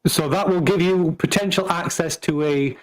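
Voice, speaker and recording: A man running a tabletop roleplaying game as game master, halting and often searching for his words. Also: fairly high pitch, rough recording. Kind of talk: live tabletop roleplaying session narration.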